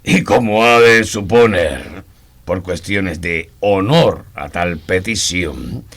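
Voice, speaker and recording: An actor playing a proud, honor-bound retired army colonel in a historical radio drama, formal, pompous and emphatic. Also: male voice, low voice, slow pace, fairly bright tone, full character voice. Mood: proud, indignant.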